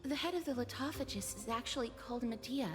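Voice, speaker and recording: A woman's voice: a little high, fast, close to an everyday voice. A woman voicing an earnest young heroine. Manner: earnest, explanatory, composed. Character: sharp young woman investigator, earnest and reasoning. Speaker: game character. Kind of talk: video game voice acting. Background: game music.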